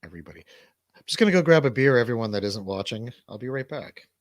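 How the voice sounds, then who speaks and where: A man's voice: medium pitch, lively intonation, fast talker, no echo, studio quality. A man co-hosting a livestream in casual banter, with a rambling, slangy, jokey way of talking. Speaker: livestream co-host.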